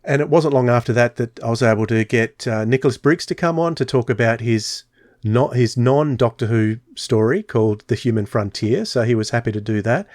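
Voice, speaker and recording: A man's voice: medium pitch, fairly quick, darkish tone, no room sound, studio quality. A man hosting a podcast, chatting casually and telling stories with many fillers. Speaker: podcast host.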